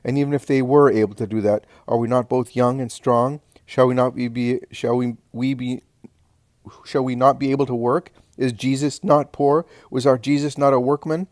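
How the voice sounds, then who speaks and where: A man's voice: mid-range voice, steady tone, normal speed, a little mellow, no echo, clean studio sound, lightly acted. A man voicing a fierce, defiant woman of imperious faith, commanding and thundering, in a dramatic story reading. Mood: scornful, proud.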